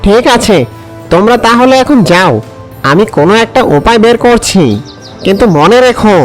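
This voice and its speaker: A man voicing a concerned, authoritative jungle sorcerer in a cartoon, giving deliberate instructions. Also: high voice, faint music behind, close to an everyday voice. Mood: grave, instructing.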